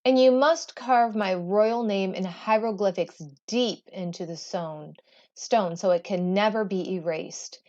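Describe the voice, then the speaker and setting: A woman's voice: mid-range voice, a little slow, darkish tone, muffled recording, lightly acted. A woman reading a storybook aloud, voicing a proud, imperious and playfully teasing Egyptian queen with regal emphasis. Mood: commanding.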